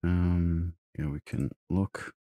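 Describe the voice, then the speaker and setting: Male let's play host; casual, slow-paced game commentary in a lowish register. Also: fairly even intonation, warm tone.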